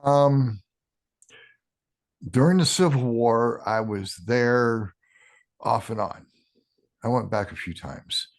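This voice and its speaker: A man in his sixties, a podcast guest talking casually in a halting way, restarting sentences with ums. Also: medium pitch. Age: 60s. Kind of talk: casual interview talk.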